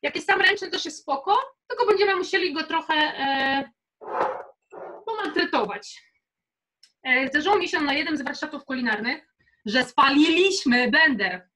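A woman's voice: high-pitched, normal speed, long pauses, bright, forceful tone. A young woman leading a casual live cooking workshop in a chatty, informal, encouraging way. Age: young adult. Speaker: cooking instructor. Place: home kitchen, indoors.

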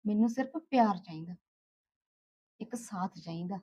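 A woman's voice: mid-range voice, normal speed, mellow, husky, close to an everyday voice. A woman acting a lonely, lovestruck mistress of a household in a short film, with a Punjabi accent. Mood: coaxing, longing.

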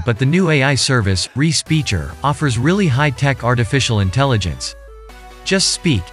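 A man from Germany presenting a video as scripted narration. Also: mid-range voice.

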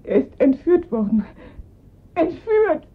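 An actress playing a frantic, pleading mother of a kidnapped boy in a German radio crime drama, breathy, urgent and halting. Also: extremely high pitch, very animated, phone-quality sound, heavily acted. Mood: shocked, distraught.

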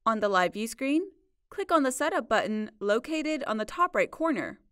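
A woman narrating a scripted software tutorial in a measured, instructional way. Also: a little high, animated, dry recording, studio quality. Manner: clear, measured instructional delivery. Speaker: support video narrator.

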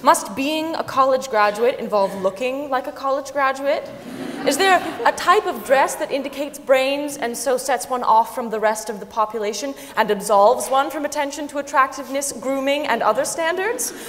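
High-voiced woman reading in a hall. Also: normal speed, a bit bright. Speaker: reader in a staged dramatic reading. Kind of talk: dramatic reading of an article on stage. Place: large concert hall stage, before an audience. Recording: reverberant concert hall, faint ambient noise.

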